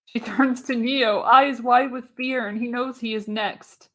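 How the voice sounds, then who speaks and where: A woman's voice: on the high side, fairly even intonation, unhurried, bright, forceful tone, low-quality recording. A woman narrating screenplay stage directions for a live radio play, reading expressively with the occasional stumble. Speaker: narrator, show host.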